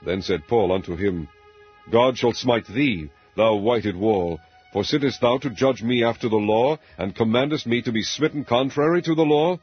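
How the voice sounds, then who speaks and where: Male scripture narrator, lowish pitch, grave and deliberate, dramatic reading style; dull lo-fi sound. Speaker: Bible narrator. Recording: dull lo-fi audio with music underneath. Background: background music.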